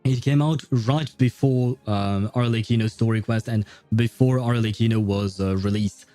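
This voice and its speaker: Casting: male game streamer, relaxed dark tone, dry close mic, rambling self-deprecating chat. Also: fairly low pitch, normal speed, dry recording, faint music behind. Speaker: video game livestreamer. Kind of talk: casual livestream chat while gaming.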